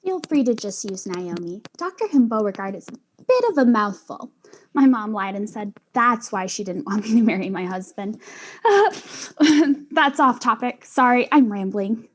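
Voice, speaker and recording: A woman playing a friendly, awkward software scientist in a mystery drama, chatty and apologetic. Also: a little high, lively intonation, unhurried. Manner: chatty, apologetic, self-effacing. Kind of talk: acted mystery audio drama.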